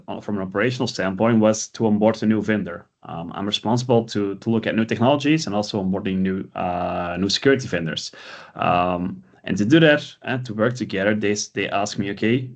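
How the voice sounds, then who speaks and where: A man's voice: fairly low pitch, highs cut off. A man hosting a recorded webinar, talking in a hesitant, conversational way with many fillers. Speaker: webinar host.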